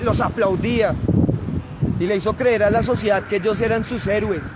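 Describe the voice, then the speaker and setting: A man's voice: high-pitched, steady tone, normal speed. A man, giving an impassioned, declamatory political speech to his phone camera outdoors. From Colombia. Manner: declamatory, emphatic, rhetorical lists. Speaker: activist. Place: outdoors on a wide open plaza with traffic. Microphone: phone camera at arm's length. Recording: loud wind noise on phone mic.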